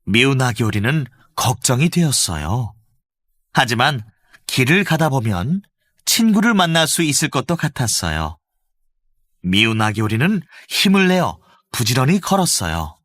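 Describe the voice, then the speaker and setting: A man narrating a children's storybook with an expressive storytelling lilt. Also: mid-range voice, moderate pace, dry recording, studio quality.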